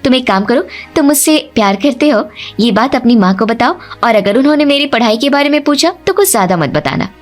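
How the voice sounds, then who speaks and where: A woman's voice: on the high side, expressive, fairly quick, hardly any pauses, faint music behind, natural voice. A woman voicing a clever, polite but insistent young daughter-in-law in a cartoon family story. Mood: firm persuading.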